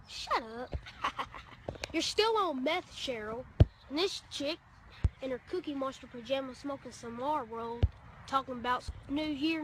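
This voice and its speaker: A woman delivering a sassy, mocking comedic rant in a social media style. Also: sits high. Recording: noisy social media clip playback.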